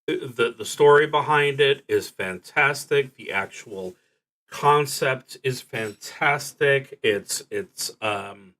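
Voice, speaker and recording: A man streaming solo tabletop roleplaying, explaining the game casually in an earnest but halting way with long pauses. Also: medium pitch, bright, forceful tone.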